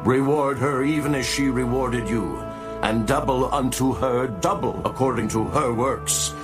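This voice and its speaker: Guy doing voice from heaven, really slow and solemn, declaring judgment, music going behind. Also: mid-range voice, lightly acted. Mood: stern judgment. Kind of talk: dramatized scripture reading.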